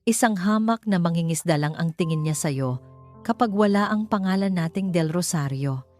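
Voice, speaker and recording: A woman's voice: fairly low pitch, lively intonation, normal speed, darkish tone, dry recording, soft background music, lightly acted. A woman voicing a cold, commanding, rich older mother with a Filipino accent in a narrated romance story. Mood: cold, cutting.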